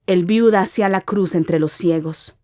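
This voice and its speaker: A woman's voice: lowish voice, normal speed, darkish tone, no echo, studio quality. A woman giving an ominous, theatrical narration of a literary radio drama.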